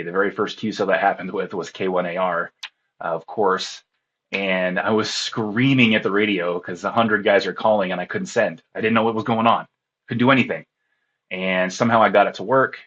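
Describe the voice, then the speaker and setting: Low-voiced husky man telling stories. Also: fairly bright tone, dull audio. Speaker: interview guest, ham radio contest operator. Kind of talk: casual interview storytelling.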